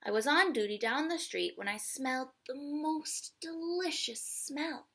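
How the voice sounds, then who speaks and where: A courteous, hungry police officer from a children's storybook, played by a woman reader. The voice is bright and unhurried, savouring and admiring. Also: high-pitched, voice pushed up, exaggerated voice. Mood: polite, tempted. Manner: polite, savouring.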